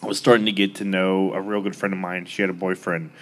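A man with a fairly low voice chats easily as a guest on a live podcast. He rambles through a story with plenty of fillers and asides. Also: flat delivery, fast. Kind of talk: casual chat. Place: live bar stage.

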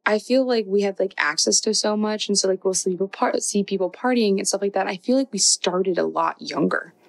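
A woman's voice: mid-range voice, fairly quick, vocal fry. A woman in her twenties co-hosting a podcast, chatting casually with lots of "like" and acted-out quotes. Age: 20s. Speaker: podcast co-host. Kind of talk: casual chat.

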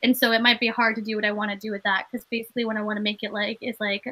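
Bright-voiced chatty young woman. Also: medium pitch, level tone, racing. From Maine. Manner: chatty, lots of "like" fillers. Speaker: guest, actress and comedian. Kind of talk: casual interview chat.

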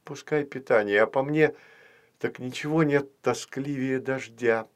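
An impatient little girl from a children's storybook, played by a male narrator. The voice is a little lifted and soft. She pleads and whines like a bored child. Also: mid-range voice, a little slow, natural voice.

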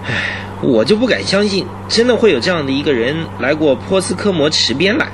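An actor playing a doubting, flustered police detective captain in an old-time Chinese radio drama. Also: male voice, on the high side, very animated, fairly quick. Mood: impatient, doubtful. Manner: flustered, sighing, hesitant interjections. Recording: faintly noisy radio drama recording.

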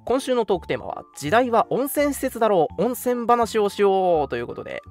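A man's voice: high voice, sing-song, fairly quick, faint music behind. A young man hosting a casual radio chat, speaking in a polite presenter's way and often breaking into laughter. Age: young adult. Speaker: radio show host.

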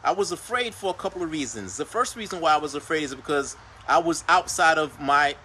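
Man raised in Haiti, Creole first language, host, bright forceful voice, a little high, faint noise behind. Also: expressive, fairly quick. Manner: earnest, repeats phrases, says "per se" and "right?". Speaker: podcast host. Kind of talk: motivational livestream talk.

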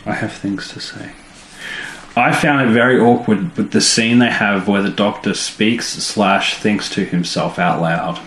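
A man's voice: fairly even intonation, unhurried, a bit bright. A man co-hosting a podcast, chatting casually and playfully about a TV episode, sometimes jumping into silly voices. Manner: playful, mimics characters with sudden jumps in pitch. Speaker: podcast co-host. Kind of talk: casual chat reviewing an episode. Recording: echoey room, faint background noise.

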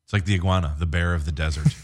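A man with a low, deep voice chats as a podcast guest. He jokes in a deadpan way and riffs on silly ideas in casual conversation. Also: very fast. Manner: deadpan, joking. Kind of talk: casual chat.

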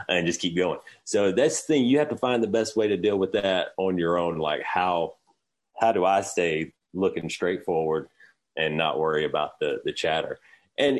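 Forties guy with a really low voice, filmmaker rambling on in an interview, lots of restarts. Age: 40s. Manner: candid, rambling with many restarts. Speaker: filmmaker guest. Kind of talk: conversational interview answers.

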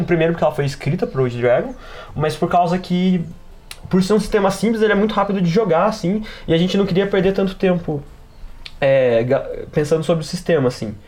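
A man's voice: fairly high pitch, quick pace, darkish tone. A man with a Brazilian accent hosting a casual podcast about tabletop games, explaining at length with slangy asides. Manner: wordy, explanatory, peppers talk with slang and tags. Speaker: podcast host and game master.

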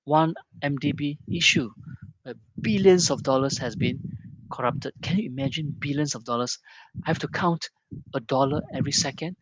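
A man's voice: medium pitch, dry recording, low-quality recording. A man in his fifties from Malaysia, giving an earnest and reflective personal monologue with rising emphasis.

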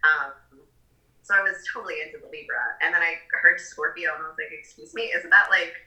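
A woman's voice: low-pitched, brisk, bright, forceful tone. A young adult woman guesting on a podcast, talking casually with frequent ums and giggles. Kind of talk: casual interview chat. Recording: echoey room.

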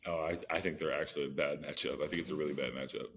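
Very deep-voiced, fast-talking man, slangy. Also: steady tone, fairly bright tone, slightly rough. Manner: slangy, informal, profane. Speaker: sports podcast co-host. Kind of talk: casual soccer discussion.

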